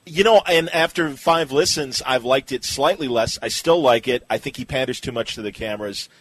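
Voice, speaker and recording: Male host, medium pitch, informal and agreeable, steering a relaxed podcast chat. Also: moderate pace, no echo. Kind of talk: casual conversational podcast hosting.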